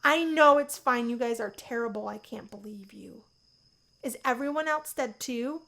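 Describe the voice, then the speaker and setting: A woman's voice: a little high, moderate pace, creaky, pushed and bright. A woman voicing a shy, anxious young college woman in a visual novel, easily flustered. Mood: outraged. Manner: flustered, stammering when upset.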